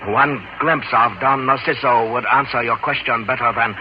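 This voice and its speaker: A man's voice: mid-range voice, steady tone, moderate pace, close to an everyday voice. Man acting a wry, formal philosopher seafarer, noisy radio. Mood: knowing. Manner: formal, clipped phrasing with dropped articles. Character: wry, philosophical Chinese seafarer with flowery sayings. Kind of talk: old-time radio adventure acting. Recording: muffled, very noisy old radio recording.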